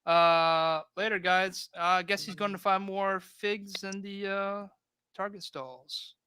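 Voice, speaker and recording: Guy in his thirties, kinda high voice, chill rambly livestream talk. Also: fairly even intonation, takes it slowly, sharp tone, clear, resonant tone, dry recording. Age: 30s. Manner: laid-back, rambling, reads chat aloud. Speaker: host of a diorama livestream. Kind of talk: casual livestream chat.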